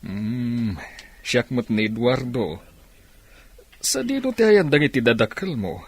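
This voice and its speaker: An actor playing a kindly older gentleman in an Ilocano radio drama, speaking with an Ilocano accent. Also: medium pitch, very animated, takes it slowly, no room sound, full character voice. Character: kindly older gentleman taking in a needy young woman.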